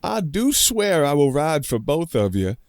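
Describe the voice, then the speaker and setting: An actor playing a gallant, plain-spoken young suitor in a radio play, making earnest, courtly declarations. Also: male voice, fairly high pitch, big pitch swings, a little slow, no echo, studio quality. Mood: earnest, wistful.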